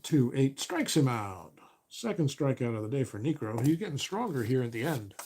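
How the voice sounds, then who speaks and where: A man doing play-by-play of a tabletop baseball replay as host, relaxed and correcting himself as he counts stats aloud, his voice getting a little raspy. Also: mid-range voice, moderate pace, noisy background. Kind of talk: play-by-play commentary of a board game replay.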